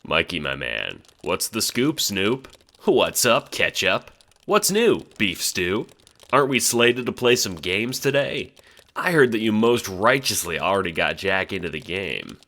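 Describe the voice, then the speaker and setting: A man acts out a cocky teenage gamer bro in an audio drama. He throws around jokey buddy slang in a low, bright voice with swooping intonation. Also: big pitch swings, measured pace, exaggerated voice. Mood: playful, upbeat.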